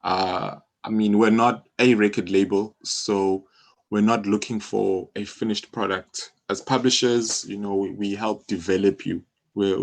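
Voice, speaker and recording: A man in his thirties with a South African accent, explaining his work informally as an interview guest, often saying "you know". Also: lowish voice. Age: 30s. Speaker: interview guest, music publisher. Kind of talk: conversational interview.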